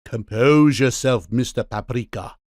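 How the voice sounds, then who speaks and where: A man's voice: medium pitch, unhurried, a little mellow, no room sound, clean studio sound, lightly acted. An actor playing a pompous king who is a living kitchen cleaver, speaking in declamatory rebukes in a full-cast audiobook. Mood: rebuking.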